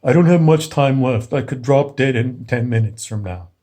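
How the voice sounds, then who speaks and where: A man's voice: mid-range voice, normal speed, dark tone, voice pushed down, lightly acted. A man acting out a world-weary eighty-five-year-old who is blunt and resigned, in an informal monologue.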